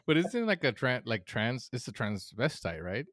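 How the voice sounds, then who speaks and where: A man's voice: mid-range voice, big pitch swings, moderate pace, a bit bright, no echo, clean studio sound. A man in his thirties co-hosting a podcast in casual, joking, slangy conversation. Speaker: podcast co-host.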